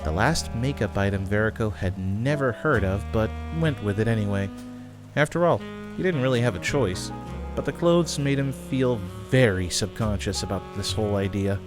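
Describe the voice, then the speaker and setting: A man's voice: on the low side, normal speed, over music. A man reading scripted fantasy story narration as the narrator of an audio drama.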